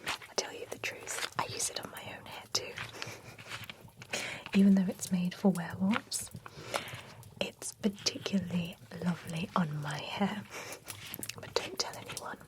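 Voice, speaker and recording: A woman's voice: fairly low pitch, fairly even intonation, a little slow, pauses often. A woman whispering in a chatty, playful way as a pampering spa stylist in an ASMR roleplay. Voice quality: mostly unvoiced whisper.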